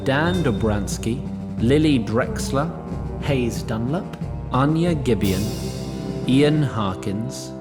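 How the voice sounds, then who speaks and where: A man's voice: medium pitch, expressive, takes it slowly, loud music behind the voice. A man reading scripted storytelling narration as the narrator of an audio drama.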